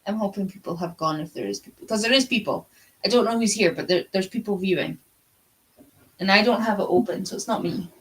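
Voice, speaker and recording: A woman's voice: medium pitch, a little fast, a bit bright, low-quality recording. A woman co-hosting a book readalong live stream, chatting casually and rambling with frequent asides. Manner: easily sidetracked, chatty asides. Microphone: live-stream video call.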